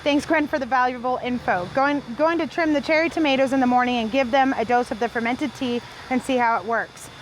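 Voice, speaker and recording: A woman's voice: high-pitched, fairly quick, few pauses, a little hiss or hum, rough recording. A woman from New Zealand who hosts a farm channel, reading viewer comments in an upbeat, chatty way. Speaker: farm owner and channel host.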